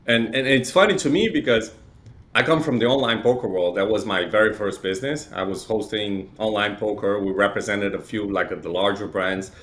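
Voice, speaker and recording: A man's voice: on the low side, fairly even intonation, moderate pace, fairly bright tone. Conversational man from Chile, explaining. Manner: explanatory, uses frequent "right?" tags. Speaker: interview guest, real estate data provider. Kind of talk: conversational interview talk.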